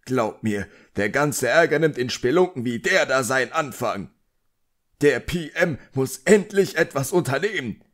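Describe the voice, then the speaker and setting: Guy doing a grumpy pompous middle aged wizard for an audiobook, barking and indignant, voice pushed up high. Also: lively intonation, measured pace, lightly acted. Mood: indignant ranting. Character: irascible, self-important middle-aged male magician, scolding and bitter. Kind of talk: audiobook character dialogue.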